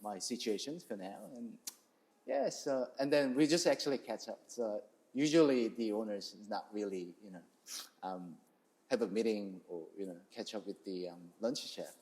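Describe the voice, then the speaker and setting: Male chef as interview guest, Korean accent, lowish voice, halting and casual delivery. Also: a little mellow, rough recording. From South Korea. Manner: hesitant, halting, non-native English phrasing. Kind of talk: casual interview, telling their story.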